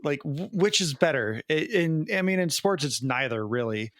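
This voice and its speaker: A man's voice: fairly high pitch, animated, moderate pace, fairly bright tone, no echo, studio quality. A man co-hosting a podcast, chatting casually about movies in a wry, jokey manner. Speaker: podcast co-host.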